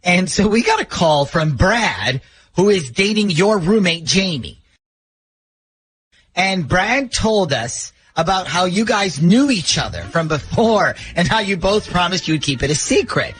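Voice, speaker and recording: A man's voice: high voice, big pitch swings, unhurried, fairly bright tone, a little hiss or hum, low-quality recording. An American man hosting a radio show, talkative and joking as he banters and runs phone calls. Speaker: radio host running a prank call segment.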